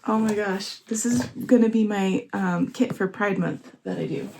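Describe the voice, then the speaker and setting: Woman, hoarse, chatty, excitable, faint background hiss. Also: mid-range voice, moderate pace. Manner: chatty, excitable, laughs often. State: hoarse, sore voice. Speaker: vlogger. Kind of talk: casual vlog chat. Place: home, indoors.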